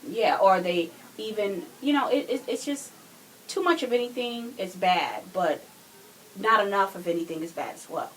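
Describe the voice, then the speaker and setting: A woman's voice: medium pitch, expressive, normal speed, slight background noise, poor audio. A woman in a casual podcast chat, earnestly explaining her views. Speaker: podcast co-speaker.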